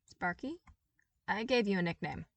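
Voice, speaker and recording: A woman's voice: medium pitch, normal speed, gravelly, no room sound, distant mic, natural voice. A woman voicing a blunt, bossy young girl who is tough but caring, in a story reading. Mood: blunt, insistent. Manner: blunt, demanding.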